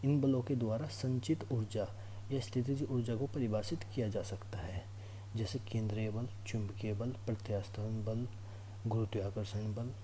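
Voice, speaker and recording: Man, mellow voice, instructional, checks understanding often, faint hum and noise behind. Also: lowish voice, steady tone, moderate pace, rough recording. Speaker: physics teacher for exam students. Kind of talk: informal classroom lesson, explaining to students. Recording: clear background noise with hum.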